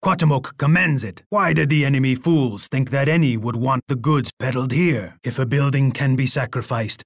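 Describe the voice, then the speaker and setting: A male actor voices a menacing Aztec emperor from a video game, in a medium-pitched male voice. He is pompous and boastful, delivering each line as an imperious, dramatic pronouncement. The sound is close and dry. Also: moderate pace, dry recording, close to an everyday voice. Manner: pompous, speaks of himself in the third person.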